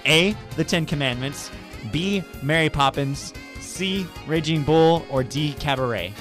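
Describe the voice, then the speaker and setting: A man's voice: fairly high pitch, a little slow, a bit bright, faint music behind. A man hosting a radio show in an informal, conversational style. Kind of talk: casual radio chat.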